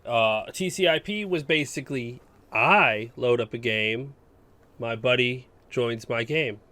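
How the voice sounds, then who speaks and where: A man's voice: mid-range voice, a little slow, sharp tone. A man chatting casually as a podcast co-host, blunt and given to mild swearing. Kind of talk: casual gaming chat.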